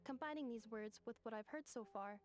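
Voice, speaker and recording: A woman playing a calm, logical young female robot in a game, with clipped, formal, machine-like phrasing. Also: on the high side, brisk, soft background music, lightly acted. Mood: analytical.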